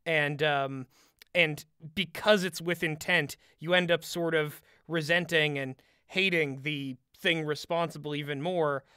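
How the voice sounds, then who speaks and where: A man's voice: a little high, fairly even intonation, a little slow, sharp tone, smooth, no echo, clean studio sound. A man co-hosting a conversational analytical discussion, thoughtful and articulate in how he builds his ideas. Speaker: podcast co-host and commentator.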